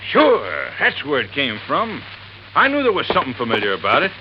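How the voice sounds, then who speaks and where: A man's voice: mid-range voice, huge pitch swings, fairly quick. A man playing an eager, plain-spoken local sidekick in 1950s radio drama. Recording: muffled, noisy old radio recording.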